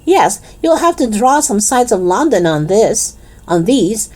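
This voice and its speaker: Woman voicing a jovial, animated male shopkeeper. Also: fairly high pitch, measured pace, voice pushed up. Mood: proudly boastful. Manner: effusive, salesmanlike. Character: jovial, boastful male shopkeeper, warmly welcoming.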